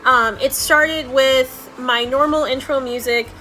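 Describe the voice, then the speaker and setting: A woman's voice: high voice, fairly even intonation, slow, sharp tone, faint music behind, rough recording. A woman hosting a video editing tutorial in a casual, chatty, informal way.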